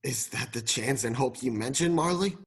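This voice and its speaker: A husky, breathy voice at a middling pitch: a man as an old miser in a radio play. The delivery is dramatic and hesitant, that of a crusty man unsettled. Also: moderate pace, close to an everyday voice. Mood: uneasy. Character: grumpy, fearful old miser softening into wistfulness.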